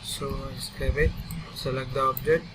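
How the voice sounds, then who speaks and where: A man with an Indian accent narrating a step-by-step software tutorial in short, halting, instructional phrases. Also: mid-range voice, little pitch movement. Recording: distant mic, loud vehicle-like background noise.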